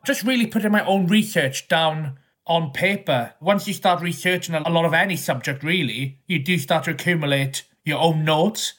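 A man in his thirties with a Welsh accent, speaking as a historian guest in an interview. He thinks out loud, rambling a little and restarting phrases as he goes. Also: on the high side. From South West Wales. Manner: thoughtful, rambling with small restarts. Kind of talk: conversational interview.

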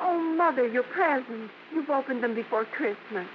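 High-voiced earnest little girl, acted by a female performer. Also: lively intonation, normal speed, lightly acted. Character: earnest, devoted young daughter of showbiz parents. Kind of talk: 1950s radio drama acting. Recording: dull vintage radio recording, faint noise.

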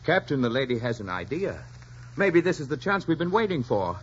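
An actor playing a scheming, deferential pirate first mate in a 1940s radio drama, theatrical and persuasive. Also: male voice, mid-range voice, very animated, fairly quick, a little hiss or hum, natural voice. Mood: eager, scheming. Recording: muffled 1940s radio recording.

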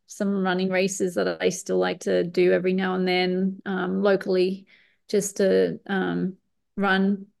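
A woman from the UK with an accent mixing British and Australian, chatting casually as an interview guest, relaxed and rambling with many fillers. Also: medium pitch, creaky. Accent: mix of British and Australian. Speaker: guest, retired pro triathlete.

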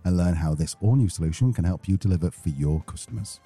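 A man's voice: sits low, fast talker, over music. A British man co-hosting a podcast with casual, tongue-in-cheek banter and chatty sponsor reads. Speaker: podcast co-host, business owner.

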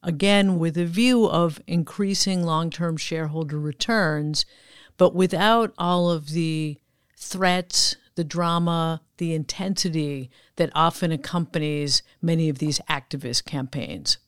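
A woman giving measured, businesslike explanations as an executive guest in a podcast interview. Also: sits low, clean tone, no room sound, clean studio sound. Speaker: podcast guest, chief investment officer.

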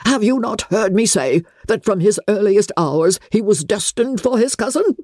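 A woman's voice: medium pitch, expressive, moderate pace, darkish tone, dry recording, clean studio sound, lightly acted. A woman reading a classic novel aloud, voicing an imperious, indignant older aristocratic lady with pompous, emphatic delivery. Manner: pompous, declamatory, emphatic, formal. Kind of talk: dramatic audiobook reading of classic literature.

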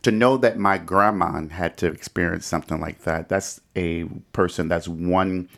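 Middle aged american guy hosting a chat podcast, pretty low voice. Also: fairly even intonation, moderate pace, vocal fry, fairly bright tone. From Detroit, United States. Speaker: podcast host. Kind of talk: conversational discussion and interviewing.

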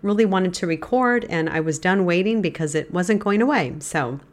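Low-voiced congested woman teaching Bible. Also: expressive, normal speed. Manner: earnest, gentle, throat-clearing. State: congested, stuffy nose. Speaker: podcast host. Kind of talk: devotional Bible teaching podcast.

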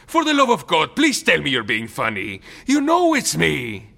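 Exasperated rebel leader for radio play, forceful bright tone, hugely varied intonation. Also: male voice, fairly high pitch, wildly swooping pitch, normal speed, creaky, full character voice. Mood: indignant. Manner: emphatic, exasperated, melodramatic. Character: indignant, proud, pleading male revolutionary leader. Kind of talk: audio drama acting.

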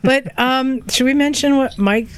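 A woman's voice: high voice, measured pace, slight background noise, low-quality recording. Casual woman hosting a group chat. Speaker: podcast host.

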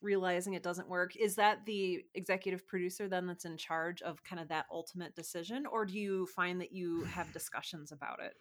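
Female podcast host, lower-than-average pitch, reflective, conversational interviewing. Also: fairly even intonation, brisk, hardly any pauses, fairly bright tone.

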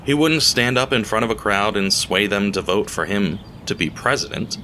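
A man narrating an audio drama in a deliberate, theatrical storytelling style, speaking straight to the listener. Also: lowish voice, bright, forceful tone, slight background noise.

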